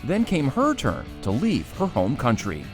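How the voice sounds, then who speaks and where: Lively-voiced reporter woman narrating. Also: rumbling low, a little slow. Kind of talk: scripted news narration. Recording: music bed underneath.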